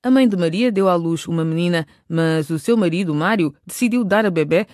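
High-pitched male radio drama narrator. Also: a little fast, darkish tone, no echo, clean studio sound. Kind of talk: scripted narration.

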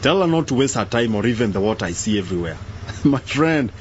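A man's voice: medium pitch, very animated, fast, close to an everyday voice. A pompous male politician played by an actor talks down to people in a radio drama. His voice swings with scornful condescension, slightly muffled, with faint vehicle noise behind. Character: dismissive, condescending male member of parliament.

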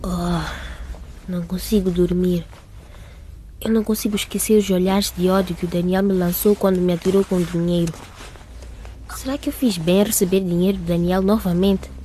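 A woman plays an anxious teenage girl in a radio drama monologue. Her voice is soft and mellow at a medium pitch, with faint ambient noise behind. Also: close to an everyday voice. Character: troubled, pregnant fifteen-year-old girl.

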